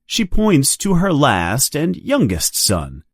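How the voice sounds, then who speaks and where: A narrator reading a scripted story aloud for learners in a deliberate, clearly enunciated manner. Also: medium pitch, huge pitch swings, no room sound, clean studio sound. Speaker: audiobook narrator. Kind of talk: scripted read-along storytelling.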